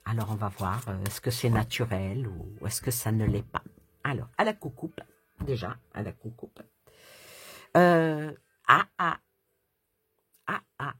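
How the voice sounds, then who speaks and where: A woman's voice: very deep voice, animated, unhurried, pauses often, a bit bright, breathy edge, no room sound. A woman chatting casually through a tarot reading, rambling and laughing as she goes. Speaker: tarot reader.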